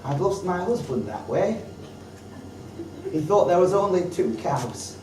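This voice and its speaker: A woman's voice: on the low side, very animated, a little slow, darkish tone, reverberant, recorded from a distance, slight background noise, poor audio. A woman voicing a stern, widowed village schoolteacher, bossy and schoolmarmish.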